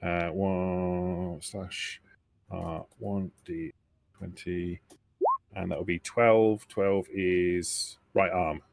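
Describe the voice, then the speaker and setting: Man, game master, low-pitched, dry recording, easygoing, explaining rules step by step. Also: little pitch movement, very slow, gravelly, dry recording. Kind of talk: running a tabletop roleplaying game.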